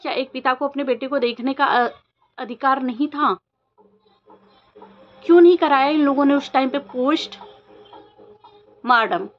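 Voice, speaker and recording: A woman's voice: sits high, a little slow, long pauses, faint music behind. A woman vlogger giving informal opinion commentary, questioning insistently and repeating her points for emphasis. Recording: dull lo-fi audio with faint noise.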